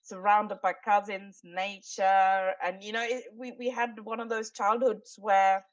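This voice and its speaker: A woman's voice: mid-range voice, little pitch movement, recorded from a distance, highs cut off. A woman in her forties from Sri Lanka, a podcast guest speaking casually with many fillers and restarts. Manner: halting, many fillers and restarts, laughs often. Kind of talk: casual interview talk.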